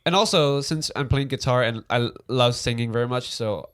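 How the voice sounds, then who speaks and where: A young man from Taiwan with a Taiwanese accent, Mandarin his first language, co-hosting a casual podcast and talking in halting, restarting sentences. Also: medium pitch, fairly bright tone. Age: young adult. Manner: halting, many restarts and fillers. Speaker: podcast co-host. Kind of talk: casual chat.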